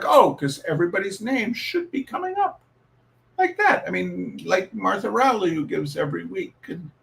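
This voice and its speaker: A man with a high voice that swings widely up and down and crackles with creak. He performs like a showman, theatrical and emphatic, repeating phrases for effect. His delivery suits comic readings and loose, informal chat with an audience. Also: fairly bright tone. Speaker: host reading humour pieces.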